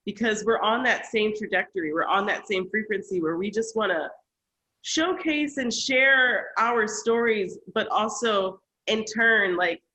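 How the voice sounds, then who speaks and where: Woman, podcast host, mid pitch, bright tone, effusive, encouraging. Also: rough recording. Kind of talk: casual interview talk.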